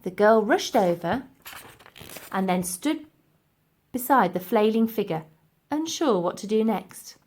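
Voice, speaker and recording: A woman's voice: mid-range voice, animated, unhurried, pauses often, darkish tone. A woman narrating a children's storybook expressively as its reader.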